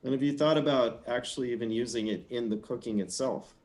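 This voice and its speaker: A man co-hosting a casual chat, asking calm and curious questions. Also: medium pitch, a little fast.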